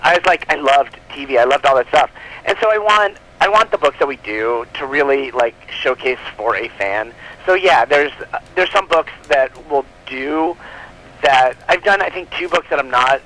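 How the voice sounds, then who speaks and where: Chatty bright-voiced man, casual interview guest. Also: mid-range voice, moderate pace, low-quality recording. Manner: informal, rambling, giggly. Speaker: comic book publisher, interview guest.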